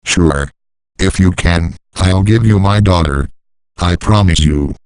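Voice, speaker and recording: A storyteller voicing a farmer father from a folk tale, speaking in a plain, deliberate way. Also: low voice, darkish tone, clear, resonant tone, dry recording, voice pushed down. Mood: agreeing. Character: anxious, grateful old-time farmer father.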